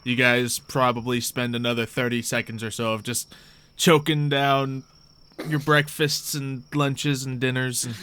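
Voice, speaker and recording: A young man with a bright, forward voice runs a tabletop game. He narrates casually and easily, often with a chuckle. His pitch sits in an ordinary male middle range. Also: level tone, a little slow, clear, resonant tone. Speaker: game master.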